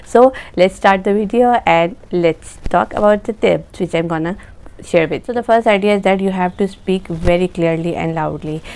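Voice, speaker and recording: A young woman with an Urdu accent, a native Urdu speaker, giving a casual spoken lesson in a halting way, often searching for words. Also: fairly low pitch, darkish tone. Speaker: English-speaking tips presenter.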